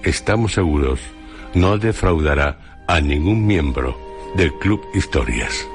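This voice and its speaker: Guy with doing radio intro, really deep slow solemn voice, faint music behind. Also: animated. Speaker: presenter of a radio drama programme. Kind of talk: scripted literary introduction. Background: background music.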